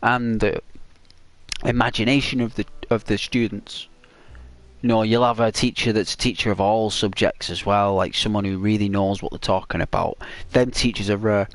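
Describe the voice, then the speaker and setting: A man's voice: lowish voice, level tone, normal speed, no echo. A man with a British accent chatting casually while playing a video game, rambling with lots of "like" and restarts. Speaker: gaming channel host.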